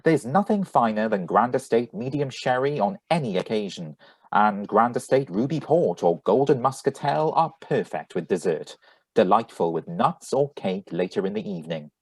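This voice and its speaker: A man playing a suave, husky 1940s radio announcer delivering a sponsor pitch. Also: expressive, normal speed, dry recording. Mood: genial, salesmanlike.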